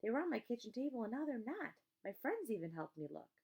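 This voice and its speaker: Storybook lead: well-mannered young boy played by a woman, earnest, plain-spoken, easily flustered, slight creak. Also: on the high side, sing-song, quick pace, muffled recording, pitched above the performer's own voice, heavily acted. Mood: flustered, apologetic. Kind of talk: children's audiobook character voice.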